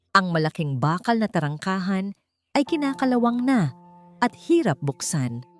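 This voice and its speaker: A woman reads a dramatic story as its narrator. Her voice sits on the low side and moves through lively, expressive pitch swings that build suspense. Faint music plays behind her.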